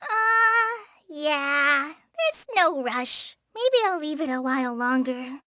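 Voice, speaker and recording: A woman's voice: high-pitched, animated, dry recording, clean studio sound, exaggerated voice. A woman voicing a shy, earnest teenage girl in a video game, hesitant and apologetic in the way she talks. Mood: anxious. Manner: hesitant, apologetic, soft-hearted, halting with stammers. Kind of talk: video game character voice lines.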